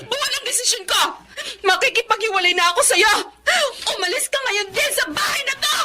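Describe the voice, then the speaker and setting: A woman's voice: extremely high pitch, animated, a little fast, over music. A woman playing a hurt, indignant betrayed wife with a Filipino accent, tearful and scolding in a melodrama. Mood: bitter, angry. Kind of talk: Filipino melodrama radio-style acting.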